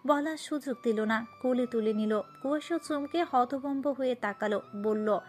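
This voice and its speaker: A woman's voice: fairly high pitch, moderate pace. A woman narrating a romantic story from a script.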